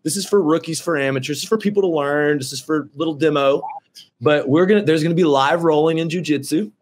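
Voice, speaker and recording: A man of thirty-six in casual video-call chat from his office, brash and excitable, swearing freely and calling people dude and bro. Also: fairly high pitch, fast, slightly rough. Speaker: roofing company owner and host. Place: office with shelves.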